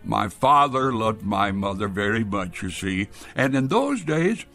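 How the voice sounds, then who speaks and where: A man's voice: fairly low pitch, sing-song, a little slow, pushed and bright, soft background music, heavily acted. An actor playing a stubborn, witty old man in a radio drama, wry and evasive, turning questions back. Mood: wry, defensive. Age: older. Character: witty, stubborn old man devoted to his heirloom clock.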